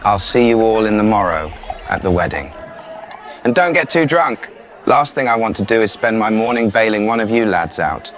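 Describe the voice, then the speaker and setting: A man's voice: lowish voice, lively intonation, normal speed, a bit bright. A man with a British accent playing a restless, rebellious young prince in an intimate, sardonic audio roleplay. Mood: tipsy, jovial. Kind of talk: scripted audio roleplay addressed to the listener.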